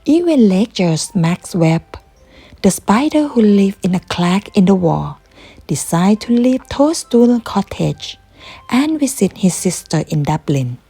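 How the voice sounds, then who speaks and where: A woman reading a children's bedtime story aloud in an expressive narrating style. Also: mid-range voice, breathy edge, no echo, soft background music. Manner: slow, animated read-aloud delivery.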